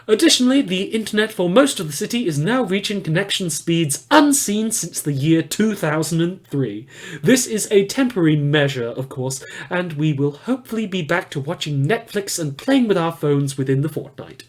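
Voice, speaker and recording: Give this man acting as a post-apocalyptic radio newsreader a slightly high voice. He delivers a scripted bulletin in a mock-formal way, with wry, snide asides slipped into the official tone. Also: lively intonation, normal speed.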